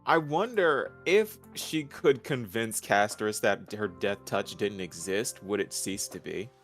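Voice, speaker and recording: A man's voice: fairly low pitch, animated, measured pace, a bit bright, faint music behind. A man chatting casually as a guest on a livestream discussion, emphatic, with incredulous asides. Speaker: guest co-commentator.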